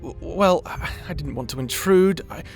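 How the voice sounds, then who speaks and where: A man's voice: on the high side, normal speed, faint music behind, natural voice. A man playing a timid, awkward young wizard in a fantasy audio roleplay, earnest and apologetic, with a hesitant, stammering delivery. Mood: sheepish.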